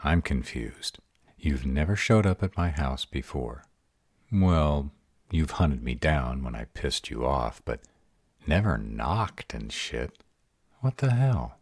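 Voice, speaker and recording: A man's voice: a bass voice, expressive, slow pace, breathy edge, no room sound, studio quality, heavily acted. A man acting a sarcastic, baffled vampire in an audio roleplay drama, wary and exasperated, with a casual, sweary manner. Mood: confused, wary.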